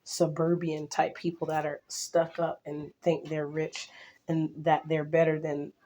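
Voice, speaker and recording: A woman vlogging casually to her viewers, a little hoarse and raspy from a cold, rambling with restarts and blunt asides. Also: low-pitched, flat delivery, unhurried, warm tone. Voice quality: slightly raspy. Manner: blunt and outspoken.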